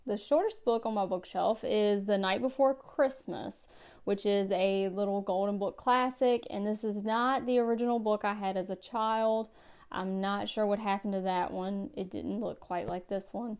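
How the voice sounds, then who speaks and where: A woman vlogger going through a book tag at home by her bookshelves, reading each question and answering in a careful, measured way. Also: mid-range voice, fairly even intonation, darkish tone, clear, resonant tone. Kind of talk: casual book tag vlog.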